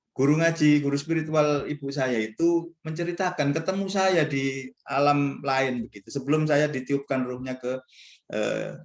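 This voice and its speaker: A man gives an informal religious lecture to students as a professor, in a conversational, rambling, self-deprecating way. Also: medium pitch. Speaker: university professor speaking as guest mentor. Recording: echoey, roomy sound.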